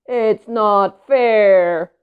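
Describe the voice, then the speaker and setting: A woman voicing a grumpy, moody pigeon with a hot temper, complaining loudly and dramatically in a children's storybook. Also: medium pitch, drawn-out words, clean tone, highs cut off. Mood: sulky. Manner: loud, dramatic, whiny complaining. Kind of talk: storybook read-aloud for children.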